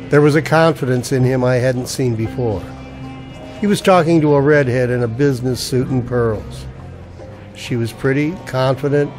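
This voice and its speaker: A man's voice: mid-range voice, natural voice. A man playing a wry, down-on-his-luck noir narrator in a radio drama, with a sardonic, hard-boiled delivery.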